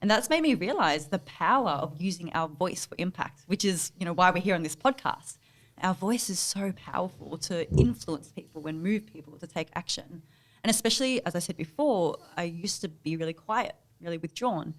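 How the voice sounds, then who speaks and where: A woman's voice: lowish voice, animated, normal speed. Emphatic woman coach. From Australia. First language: Vietnamese. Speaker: guest, business coach. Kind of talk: motivational interview answers.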